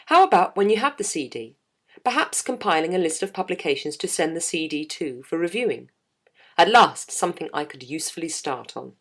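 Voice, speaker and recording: A middle-aged woman with a low voice, reading her own blog aloud in a wry, self-mocking way. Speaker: jazz singer and podcast host.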